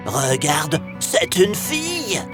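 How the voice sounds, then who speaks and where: A woman's voice: low voice, very animated, slow, over music, full character voice. A woman voicing an obedient, earnest young boy in a children's storybook, boyish and emphatic. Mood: puzzled, defensive. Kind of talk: children's animated storybook acting.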